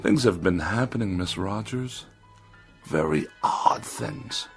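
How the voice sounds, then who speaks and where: A man's voice: lowish voice, lively intonation, slow pace, vocal fry, soft background music, full character voice. A man playing a pompous, irritable and lonely magazine critic with haughty, precise diction in a 1960s television drama. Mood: anxious, desperate. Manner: haughty, ornate, precise wording.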